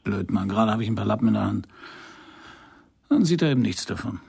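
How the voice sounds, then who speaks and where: An actor playing a wry, hard-boiled private detective in a radio crime play, with a dry, laconic delivery. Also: male voice, fairly low pitch, expressive, fast talker, creaky, darkish tone, breathy edge, heavily acted. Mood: teasing, offhand. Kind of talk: first-person crime radio play narration and dialogue.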